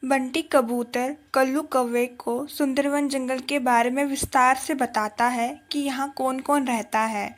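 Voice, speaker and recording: A woman's voice: sits high, steady tone, a little slow, hardly any pauses. A woman narrating a scripted children's story.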